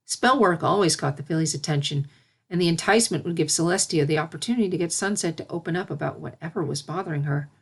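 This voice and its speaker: Woman reading out a story as narrator, voice a bit on the low side. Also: quick pace, breathy edge.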